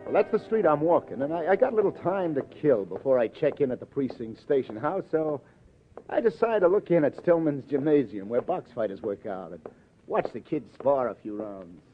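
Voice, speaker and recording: Actor playing a wry, world-weary New detective, old radio. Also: male voice, mid-range voice, big pitch swings, moderate pace, close to an everyday voice. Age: middle-aged. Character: seasoned, wry New York police detective, former boxer. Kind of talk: 1950s radio drama acting, hard-boiled narration. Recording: muffled old radio broadcast, music behind.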